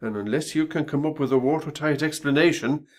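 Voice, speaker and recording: An actor playing a stern, suspicious company boss in a radio play, speaking formally and curtly. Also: male voice, on the high side, fairly quick, lightly acted. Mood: stern, dismissive. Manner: formal, curt, authoritative.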